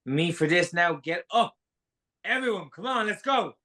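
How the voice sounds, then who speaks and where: Guy acting as urgent group leader in a thriller, high voice, really animated. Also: normal speed. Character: urgent man leading a group out of danger. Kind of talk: live dramatic table read.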